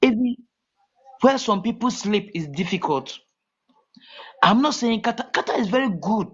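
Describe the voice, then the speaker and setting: A man from Ghana with a Ghanaian accent, talking as a guest in a casual call-in interview, halting and repeating his words. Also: sits high, animated, slightly rough. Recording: muffled lo-fi call audio.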